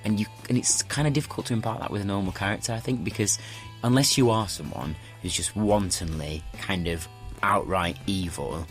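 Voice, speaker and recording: Low-voiced enthusiastic man. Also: brisk, faint music behind. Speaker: actor interviewed behind the scenes. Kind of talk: casual interview chat.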